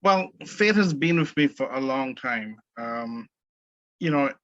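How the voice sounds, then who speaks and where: Bright, earnest Caribbean-born man. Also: medium pitch, expressive, no echo, dull audio. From Caribbean, born in Trinidad, raised in Barbados. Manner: measured, earnest, many fillers and restarts. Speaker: guest, entrepreneur. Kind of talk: casual interview conversation.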